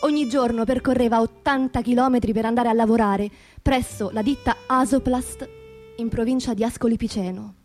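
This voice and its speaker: A woman giving scripted theatrical narration as a stage narrator, solemn and measured, with deliberate phrasing. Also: a little high, fairly even intonation, a little mellow, soft background music.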